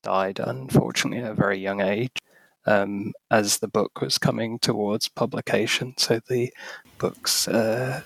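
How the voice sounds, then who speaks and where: Husky, scratchy-voiced man. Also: on the low side, fairly even intonation, unhurried, dry recording. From United Kingdom. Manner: hesitant, many ums, thoughtful. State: scratchy voice from illness. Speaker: author and academic guest. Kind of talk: podcast interview.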